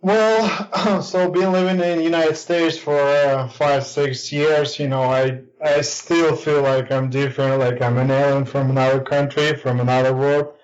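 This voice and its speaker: A young man from Ukraine with a Ukrainian accent, a podcast guest chatting casually and pausing often with ums and uhs. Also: on the high side, steady tone. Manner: hesitant, many ums and uhs. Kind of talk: casual interview chat. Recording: dull lo-fi audio.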